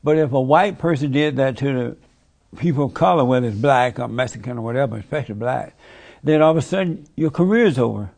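A man's voice: mid-range voice, fast, gravelly, a little mellow. A man hosting a casual interview, asking blunt, plain questions and giving short affirmations. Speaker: interviewer, host.

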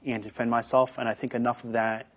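A man's voice: mid-range voice, flat delivery, fast talker. A young man giving earnest, hesitant interview answers as a guest, often repeating words as he starts. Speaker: guest, YouTuber.

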